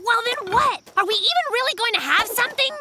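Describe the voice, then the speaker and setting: An actor voicing a boastful, stubborn, excitable young bear cub in a children's cartoon, with a whiny, theatrical delivery. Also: extremely high pitch, fast talker, heavy background noise. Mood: eager, impatient. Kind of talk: animated children's cartoon acting.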